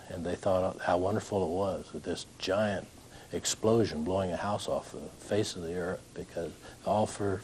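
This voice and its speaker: An older man, haltingly telling an anecdote as an interviewee. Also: low voice, darkish tone. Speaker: interviewee recalling the past. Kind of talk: casual anecdotal storytelling. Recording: noisy room ambience.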